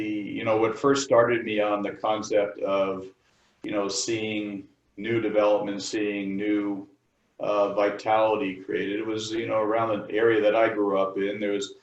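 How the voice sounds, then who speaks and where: A middle-aged man from the New York area talks through an interview in halting bursts, circling back with fillers and restarts. His voice sits on the low side. The recording is dull and roomy, caught from a distance. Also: gravelly. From New York area, United States. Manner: hesitant, many fillers and restarts. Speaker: architect, firm co-founder. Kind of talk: interview answers.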